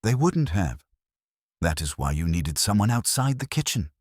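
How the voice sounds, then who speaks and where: A man doing a stout, imperious older detective, formal and exact in every word. The voice sits extremely low and husky, yet moves with lively shifts of pitch. It is recorded close, with no room sound. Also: dry recording. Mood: dry lecturing. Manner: precise, measured, formal diction.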